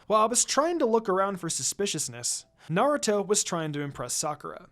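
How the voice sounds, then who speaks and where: A narrator reads a scripted story in the first person. The pitch sits in a middle register and moves in an animated way, with music playing faintly behind the voice. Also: fairly quick. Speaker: fan fiction narrator.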